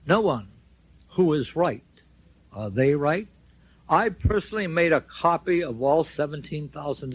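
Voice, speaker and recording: Older man, slow pace, raspy voice, emphatic short statements, personal testimony speech, muffled low-fidelity recording. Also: no echo. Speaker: speaker at a symposium, recounting his own legal case. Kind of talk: public speech, personal storytelling. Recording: dull lo-fi shortwave radio recording.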